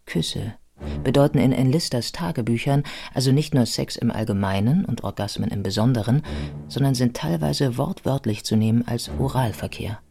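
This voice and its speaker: A man narrating a scripted biographical radio play in a measured, scholarly manner. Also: mid-range voice, gravelly, a little mellow, breathy edge.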